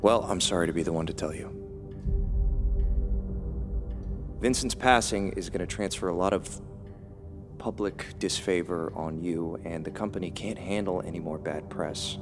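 A critical company investor played by a man in an audio drama. His voice is deep and measured, that of a businessman delivering criticism. Soft music sits underneath. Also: natural voice. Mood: grave, measured. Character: smooth, critical male investor on a company board. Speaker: actor. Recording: faint music behind.

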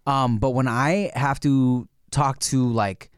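A man's voice: mid-range voice, fairly even intonation, takes it slowly, smooth, no room sound, studio quality. Laid-back man chatting on a podcast. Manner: laid-back, many fillers and restarts. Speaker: podcast co-host. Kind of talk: casual podcast chat.

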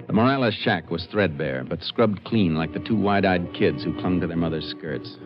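An actor playing a stern, level-headed Texas Ranger and narrator in a 1950s radio drama, terse and measured, with an American accent. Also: male voice, low voice, loud music behind the voice, natural voice. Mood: grave, matter-of-fact. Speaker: lead character and narrator. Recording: dull lo-fi 1950s radio recording.

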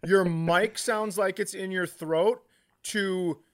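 A man's voice: high-pitched, steady tone, slow pace, dry recording, clean studio sound. A man co-hosting a comedy podcast, telling stories casually with crude, exasperated rants. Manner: crude, profane, exasperated ranting.

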